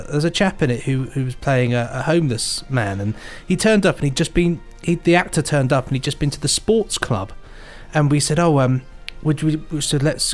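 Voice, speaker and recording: A man co-hosting a podcast in a casual, enthusiastic, jokey chat style. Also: medium pitch. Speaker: podcast co-host.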